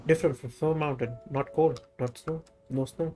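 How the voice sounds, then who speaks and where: A man's voice: a little high, fairly even intonation, normal speed. Man reading game dialogue aloud over music. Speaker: game streamer.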